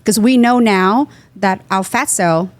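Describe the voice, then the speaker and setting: A woman's voice: medium pitch, measured pace, dry recording. A woman from Vietnam, a guest dentist, explaining her practice in a podcast interview with an earnest, teaching manner and loose grammar. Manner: explanatory, earnest, drops small grammar words.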